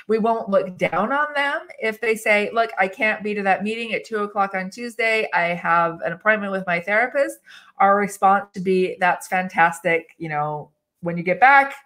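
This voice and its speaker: A woman gives advice on a live video call, her pitch sitting mid-range. She talks in an animated way, laughs often and acts out little snippets of dialogue. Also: normal speed. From English-speaking country. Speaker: HR expert guest. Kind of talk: live webinar discussion.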